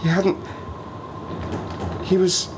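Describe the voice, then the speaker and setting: An actor playing a stranded Arctic survivor in an audio play, a sardonic, haunted man who talks haltingly and rambles in agitation. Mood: uneasy, haunted. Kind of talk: dramatic audio play monologue. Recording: noisy ambience behind the voice.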